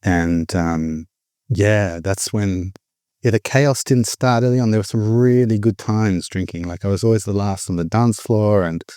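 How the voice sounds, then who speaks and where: Deep low-voiced aussie guy, middle-aged, candid and reflective, dry close sound. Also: unhurried, dark tone, clear, resonant tone, no echo. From born in the Philippines, raised abroad. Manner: reflective, candid, with frequent restarts. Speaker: guest sharing recovery story. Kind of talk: personal storytelling.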